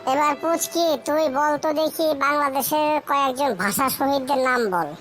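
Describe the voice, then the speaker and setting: A woman's voice: sits high, moderate pace, music in the background, lightly acted. A woman voicing a cheerful, teasing cartoon child who quizzes friends. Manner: lively, teasing, mixes in English phrases.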